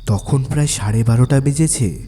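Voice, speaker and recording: A man's voice: mid-range voice, moderate pace, slightly rough. A man narrating a scripted first-person horror story in a suspenseful, dramatic way. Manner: suspenseful, expressive storytelling delivery. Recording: long reverb, faint music bed.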